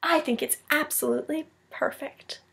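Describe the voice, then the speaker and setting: Storybook lady teacher played by a woman reader, kindly and whimsical, bright high voice, emphatic delivery. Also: steady tone, normal speed, gravelly. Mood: warm, proud.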